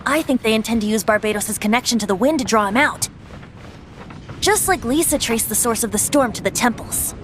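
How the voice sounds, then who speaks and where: A woman playing a thoughtful, quietly serious young traveler heroine in an anime-style video game. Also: a little high, expressive, a little fast, noisy background, lightly acted.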